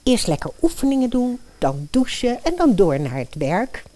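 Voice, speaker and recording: A woman's voice: on the high side, big pitch swings, normal speed, dark tone. A woman playing a sweet-talking, prying stepmother in a Dutch fairy-tale radio play, chatty and coaxing. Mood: sweetly coaxing. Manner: chatty, coaxing, ends on tag questions.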